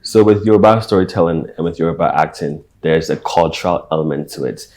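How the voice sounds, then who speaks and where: A man hosting a talk show, asking curious, measured questions. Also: very deep voice, darkish tone. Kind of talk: interview questions.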